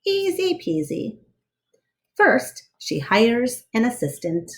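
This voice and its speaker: A woman reading a children's picture book aloud expressively, with deliberate, dramatic phrasing and asides to young listeners. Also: on the high side, huge pitch swings. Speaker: read-aloud storyteller.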